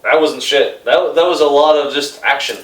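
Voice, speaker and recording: A man's voice: fairly high pitch, moderate pace, a bit bright. A man co-hosting a podcast, defending his side in a casual debate with contradictions and swearing. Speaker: podcast co-host. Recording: echoey room, distant mic, background noise.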